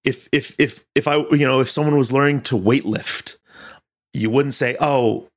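A man's voice: medium pitch, steady tone, moderate pace. A man answering interview questions as an audio director, speaking earnestly and thoughtfully, often searching for his words.

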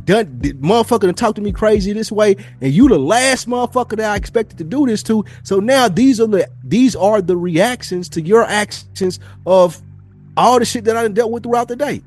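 Man talking animatedly on a podcast. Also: very high-pitched. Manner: animated storyteller acting out imagined exchanges, swearing freely. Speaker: podcast speaker. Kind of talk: casual podcast discussion.

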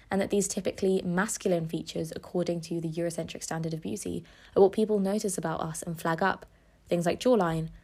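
Young woman, podcast host, earnest scripted read, lowish voice. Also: creaky, darkish tone. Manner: earnest and personal, reading from a script. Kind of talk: scripted essay-like narration.

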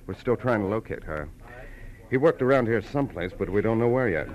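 An actor playing a matter-of-fact police detective, dry and colloquial, in 1940s radio crime drama style. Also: male voice, on the low side, lively intonation, a little fast, a little mellow, natural voice. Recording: dull old radio recording, crowd noise.

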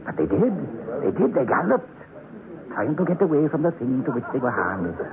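A man's voice: fairly high pitch, sing-song, fairly quick. An actor playing an old Welsh miner, a haunted survivor telling his tale in a brooding way in a radio horror drama. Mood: haunted, fearful. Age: older. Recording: noisy, tinny old radio recording.

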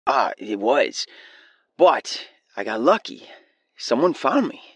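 An actor playing an earnest, excitable man phoning a radio show, hesitant and stumbling over his words. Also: mid-range voice, takes it slowly, husky, dry recording, heavily acted. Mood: earnest, eager. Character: earnest, excitable male radio caller, awed believer. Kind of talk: naturalistic audio drama acting.

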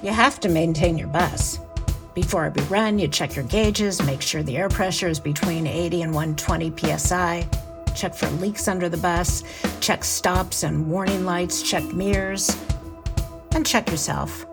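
A woman's voice: on the low side, moderate pace, vocal fry. A woman acting as an earnest, confiding bus operator narrating an audio drama in first person. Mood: cautionary. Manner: confiding, matter-of-fact. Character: earnest, loyal woman bus operator telling her story. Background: prominent guitar music.

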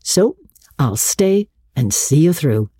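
Woman playing a kindly, low-voiced schoolteacher. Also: big pitch swings, mellow, husky, no room sound, studio quality, full character voice. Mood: fond, resolved. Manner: encouraging, measured. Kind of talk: dramatic literary reading.

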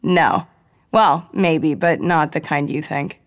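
A woman's voice: deep voice, slow pace, creaky, exaggerated voice. Woman acting a blunt, anxious, sweary wife. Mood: relieved, uneasy. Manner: blunt, casual. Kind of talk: audiobook character dialogue.

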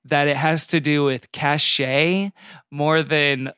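A man with a fairly high, clean and resonant voice, recorded dry and close. He talks casually as a podcast co-host, with wry commentary and frequent qualifications. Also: fairly even intonation, slow, bright, forceful tone, smooth, no echo.

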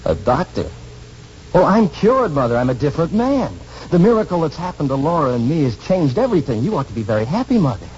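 An actor playing an earnest, insistent young husband and son in a 1940s radio drama, with emotional delivery. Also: male voice, a little high, very animated, fast, a little mellow. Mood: hopeful. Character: intense, proud young husband and devoted son. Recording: muffled, noisy 1940s radio recording.

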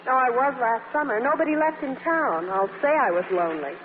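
High, breezy young woman, old radio. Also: expressive, fairly quick, soft background music. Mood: breezy, candid. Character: cheerful, forthright young bride-to-be. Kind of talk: 1940s radio comedy-drama acting. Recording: echoing, tinny 1940s radio broadcast.

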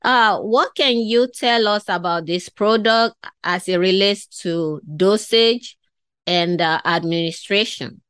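A woman's voice: mid-range voice, slow, a bit bright, clean tone, no room sound. A woman interviewer from West Africa asking long, enthusiastic questions. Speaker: podcast host.

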